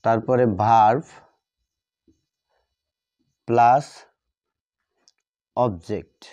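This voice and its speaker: A man's voice: medium pitch, level tone, very slow, a little mellow, highs cut off. A man teaching a grammar lesson step by step, dictating in short, repeated phrases as he writes.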